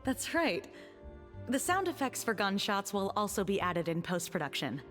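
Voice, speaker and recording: Mid-voiced, polite young prop maker. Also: female voice, medium pitch, very animated, moderate pace, fairly bright tone, faint music behind. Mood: agreeable. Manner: explanatory, agreeable. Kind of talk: video game cutscene voice acting.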